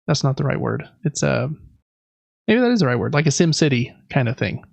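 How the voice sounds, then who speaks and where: A man's voice: medium pitch, big pitch swings, a little fast, creaky, no room sound. A man hosting a casual gaming podcast, talking informally and with enthusiasm about games. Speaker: podcast host. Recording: dull, lo-fi, close dry sound.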